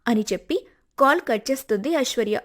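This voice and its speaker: A woman's voice: fairly high pitch, animated, a little fast. A woman narrating a cartoon family story in a plain storytelling way.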